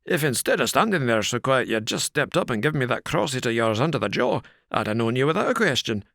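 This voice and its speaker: A man voicing a gruff, burly former prize-fighter who keeps the door, blunt and rough-spoken. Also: medium pitch, big pitch swings, fast talker, a bit bright, no room sound, studio quality, voice pushed up. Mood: hearty. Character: gruff burly male ex-boxer doorkeeper.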